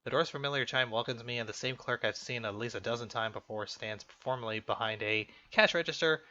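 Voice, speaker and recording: A man's voice: mid-range voice, fairly quick, pushed and bright, slight background noise. A man doing casual, jokey let's play commentary, reacting aloud to a game and reading its text. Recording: muffled lo-fi mic, music behind.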